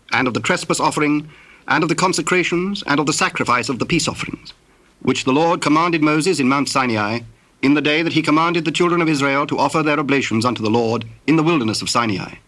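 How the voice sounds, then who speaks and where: A man narrating dramatized scripture in a solemn, measured storytelling manner. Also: a little high, fairly bright tone.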